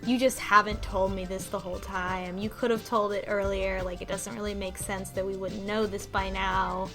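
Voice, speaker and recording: A woman's voice: mid-range voice, flat delivery, normal speed. A woman chatting casually in a group discussion, analytical and a bit sweary, saying like often. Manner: analytical, profane, uses like often. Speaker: book club podcast panelist. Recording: music playing underneath.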